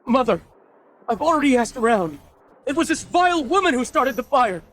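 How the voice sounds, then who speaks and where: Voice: high voice, sing-song, moderate pace, fairly bright tone, slight background noise. A performer playing the indignant grown child of a noble matriarch in a dubbed period drama, earnest and protesting. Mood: accusing.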